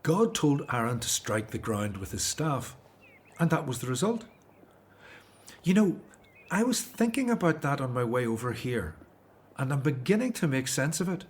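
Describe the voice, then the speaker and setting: A man's voice: medium pitch, very animated, normal speed, slightly rough. A man playing a troubled but faithful Hebrew leader, earnest in manner, in a biblical audio drama. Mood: earnest, reflective.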